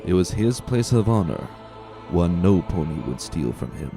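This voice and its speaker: A man's voice: low-pitched, big pitch swings, moderate pace, gravelly. A man giving a dramatic storybook narration as a scripted reader. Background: faint music.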